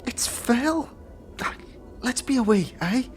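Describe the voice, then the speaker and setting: A woman's voice: a little high, expressive, slow, a little mellow, soft background music. A woman playing a feisty but fearful young street thief in a fantasy audio drama, with urgent, slang-heavy speech. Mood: alarmed, urgent. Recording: background noise and ambience.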